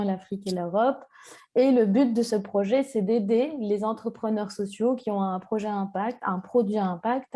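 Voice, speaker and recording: A woman from Tunisia with a mellow, dark-toned voice introduces herself on a webinar. She speaks in short phrases and fills many pauses with "euh". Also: mid-range voice. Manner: hesitant, frequent fillers. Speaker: social enterprise cofounder.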